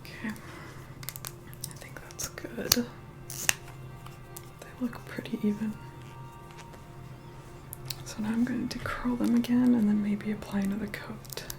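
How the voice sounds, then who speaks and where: A woman's voice: medium pitch, little pitch movement, takes it slowly, vocal fry, pauses often, music in the background, rough recording. Whispering, begrudging female vampire acted by a woman. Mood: hushed, instructing. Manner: hushed, begrudging, bossy. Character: reluctant, haughty female vampire begrudgingly helping with makeup. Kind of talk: whispered ASMR roleplay.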